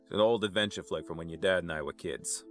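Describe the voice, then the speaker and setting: A man's voice: deep voice, fast, faint music behind, close to an everyday voice. A man playing an easygoing, joking uncle figure in an audio drama. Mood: teasing, friendly. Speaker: voice actor.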